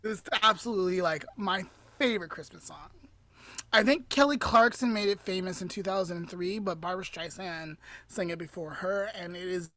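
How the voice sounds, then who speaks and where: A woman's voice: on the low side, moderate pace, sharp tone. A woman co-hosting a podcast, talking in an informal, chatty way during casual banter. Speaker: podcast co-host. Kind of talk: casual chat and song guessing game.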